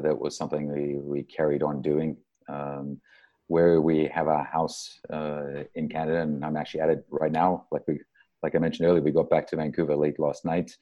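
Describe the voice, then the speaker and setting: Very deep-voiced South African man, middle-aged. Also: warm tone. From Durban, South Africa. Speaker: interview guest, soccer coach. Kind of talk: casual, reflective interview answers.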